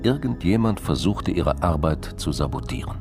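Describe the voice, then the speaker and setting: A man's voice: very deep voice, lively intonation, moderate pace, over music. A man reading scripted narration for a radio drama, with vehicle sounds and ambience behind him.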